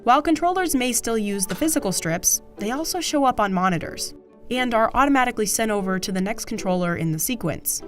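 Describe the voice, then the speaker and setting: Female narrator, lively intonation, scripted documentary read with light music underneath. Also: on the high side, a little fast.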